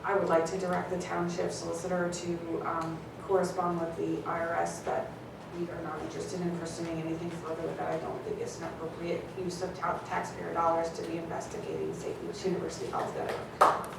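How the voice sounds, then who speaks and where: A woman's voice: lowish voice, completely flat delivery, gravelly. A woman council member speaking hesitantly at a township meeting, full of fillers and restarts. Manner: hesitant, filler-heavy, measured. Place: council chamber. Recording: echoey distant room mic with hiss.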